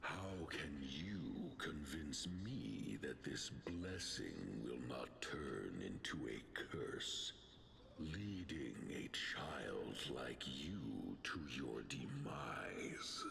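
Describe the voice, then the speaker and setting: An actor voicing an ancient ancestor spirit, a solemn old judge in a video game, speaking gravely and ponderously. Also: male voice, animated, drawn-out words, noisy background, heavily acted. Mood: grave, doubting. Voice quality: very deep, gravelly. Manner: grave, ponderous, archaic formal speech.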